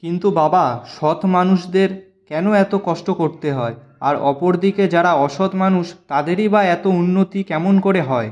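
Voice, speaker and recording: A man voicing an honest, gentle, struggling village shopkeeper in a cartoon, earnest and pleading in delivery. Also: high voice, normal speed, muffled recording, lightly acted. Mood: despairing. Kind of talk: cartoon voice acting.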